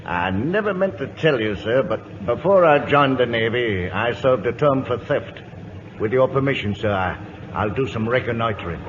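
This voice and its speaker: A man's voice: medium pitch, expressive, normal speed, lightly acted. An actor playing a humble, eager British seaman, deferential to his officers, in old-time radio drama style. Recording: muffled, noisy old radio broadcast.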